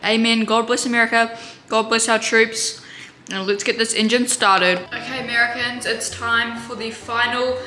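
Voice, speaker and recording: A woman vlogging in a home kitchen, chatting in a rambling way with sarcastic, deadpan asides, recorded on a camera mic. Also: on the high side, background noise. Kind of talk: casual vlog chat. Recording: roomy with music bed.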